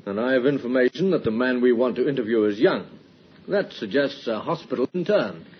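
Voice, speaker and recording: An actor playing a dogged, methodical police inspector in a measured, authoritative old-time radio drama style. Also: male voice, medium pitch, animated. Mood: probing. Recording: muffled, husky old radio recording.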